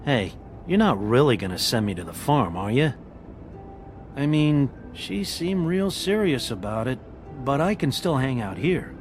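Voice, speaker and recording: Grumbly sarcastic pig character in a game, pitch all over, music under it. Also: male voice, big pitch swings, unhurried. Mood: uneasy. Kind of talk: video game drama acting.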